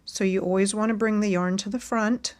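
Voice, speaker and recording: A woman hosting a casual knitting tutorial, chatting along as she knits and a bit hoarse from losing her voice. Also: medium pitch, fairly even intonation, a little fast, a little mellow. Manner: chatty and friendly, talks while knitting.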